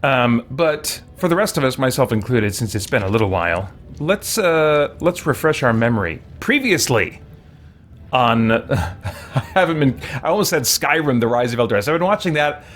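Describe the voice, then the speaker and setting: A man's voice: medium pitch, big pitch swings, normal speed, fairly bright tone, a little hiss or hum. A man giving casual, rambling, self-deprecating gameplay commentary as a streamer. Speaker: streamer, let's play host. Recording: music playing behind the voice. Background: game music.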